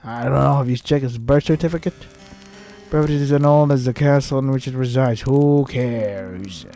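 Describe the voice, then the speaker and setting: A man's voice: medium pitch, moderate pace, mellow, soft background music. A man doing gaming commentary as he reads a visual novel aloud, breaking in with sarcastic, foul-mouthed asides. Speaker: let's play host reading a visual novel aloud.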